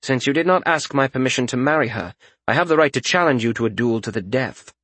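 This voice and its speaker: A man playing a cool, deadpan king. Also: mid-range voice, fast, no room sound. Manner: dry, calm, deadpan. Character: cool, detached young king.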